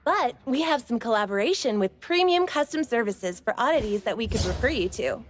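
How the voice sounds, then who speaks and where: A woman's voice: on the high side, expressive, a little fast. A woman playing a polite, professional boutique shop clerk in an anime game, with formal customer-service courtesy. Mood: politely apologetic. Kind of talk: anime game dialogue acting.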